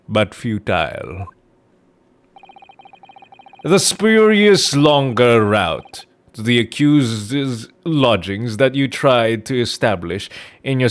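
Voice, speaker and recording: Haughty male prosecutor for a courtroom game, acted by a man: sneering, pompous, theatrical, very animated intonation. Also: on the low side. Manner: pompous, drawn-out, disdainful delivery.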